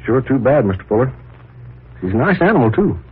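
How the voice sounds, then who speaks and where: An actor playing a folksy, deferential but insinuating ranch hand in an old radio drama, plain-spoken. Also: male voice, mid-range voice, big pitch swings, brisk. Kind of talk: 1940s radio drama acting. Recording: muffled, rough old radio transcription.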